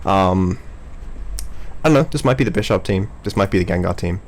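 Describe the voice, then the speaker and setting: A man's voice: low-pitched, fairly quick. A man hosting a casual, unscripted gaming chat, with a teasing manner and frequent chuckles. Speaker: host of a Pokémon draft analysis video.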